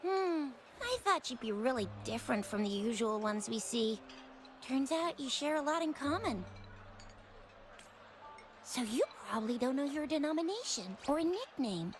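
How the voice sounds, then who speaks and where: A woman playing a lively, inquisitive young female companion in a video game, with expressive delivery. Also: high-pitched, moderate pace, slight background noise. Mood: curious. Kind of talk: video game dialogue acting.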